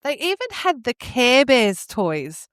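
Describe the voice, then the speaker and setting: A woman's voice: a little high, sing-song, slow pace, fairly bright tone, clear, resonant tone, no echo, studio quality. An Australian woman in her forties co-hosting a podcast in casual, nostalgic chat, often gushing and exclamatory. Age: 40s. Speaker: podcast co-host.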